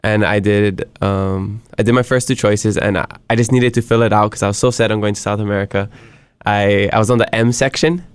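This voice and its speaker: A man's voice: on the low side, fairly even intonation, fairly quick, clean tone, dry recording. A young man from Hawaii hosting a casual interview, chatty and easygoing, telling stories with frequent laughs. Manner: easygoing, chatty storyteller who laughs often. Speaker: podcast host.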